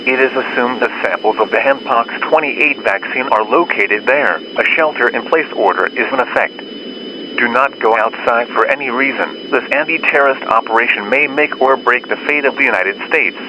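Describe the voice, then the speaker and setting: Male alert announcer over a clearly noisy broadcast. Formal, official scripted read at an average pitch. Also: normal speed. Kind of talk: scripted law enforcement warning narration.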